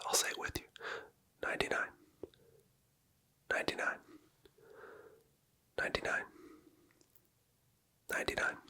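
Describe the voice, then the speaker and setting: A person whispering gentle, patient clinical instructions in a close, quiet ASMR medical roleplay as a doctor. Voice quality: whispered, mostly unvoiced. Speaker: ASMR creator playing a doctor.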